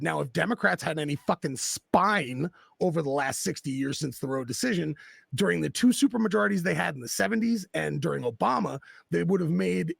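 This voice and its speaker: A man's voice: fairly high pitch, vocal fry, breathy edge, no echo, studio quality. A man in casual political conversation, talking bluntly and passionately with plenty of swearing. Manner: blunt and profane, impassioned.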